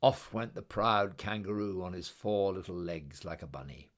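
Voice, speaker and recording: A man reading a children's story aloud in a dramatic, rhythmic, expressive way, with incantatory repetition. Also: sits low, moderate pace. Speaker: storybook reader.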